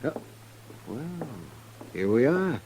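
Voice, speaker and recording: Animated, crusty frontier doctor. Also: male voice, a little high, slow, heavily acted. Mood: folksy. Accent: American. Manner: animated, folksy. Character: crusty, talkative male frontier town doctor. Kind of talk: old-time radio western acting. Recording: old radio recording with hum and hiss.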